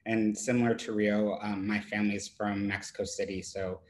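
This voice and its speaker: A man's voice: fairly low pitch, flat delivery, a little fast, a little mellow, slight background noise. A man from San Diego, a designer on a virtual panel, speaking reflectively and personally. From USA (San Diego).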